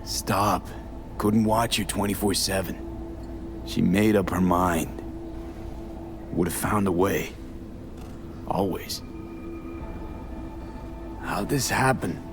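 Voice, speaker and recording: A man acting a steady, tough mercenary in a video game, speaking in a terse, matter-of-fact way. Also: deep voice, takes it slowly, long pauses. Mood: firm, consoling. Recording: music playing behind the voice. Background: game music.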